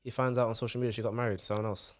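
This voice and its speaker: A man, a podcast guest telling stories in casual chat, animated and full of slang. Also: medium pitch, very fast. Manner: animated storytelling, London slang.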